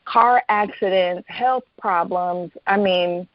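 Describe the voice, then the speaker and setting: A woman's voice: medium pitch, slow pace, dry recording, muffled recording. A woman speaking as a guest author in a conversational, reflective way. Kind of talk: reading aloud from own novel, then interview chat.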